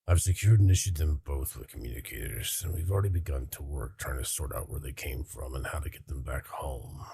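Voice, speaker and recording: A man playing a measured, caring starship crew member in a scripted sci-fi skit, speaking formally and earnestly. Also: extremely low pitch, creaky, darkish tone, husky, no echo, full character voice. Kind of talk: scripted sci-fi log narration in an audio skit.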